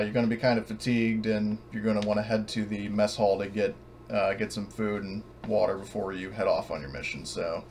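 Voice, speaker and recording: A man hosting a tabletop roleplaying game as game master, talking casually in a halting, hesitant way. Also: on the low side, slight background noise.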